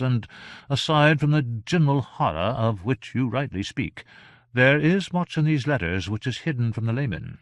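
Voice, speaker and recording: A man voicing a self-important scholarly gentleman magician in a storybook, pompous and measured. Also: medium pitch, no room sound, pitched above the performer's own voice, close to an everyday voice.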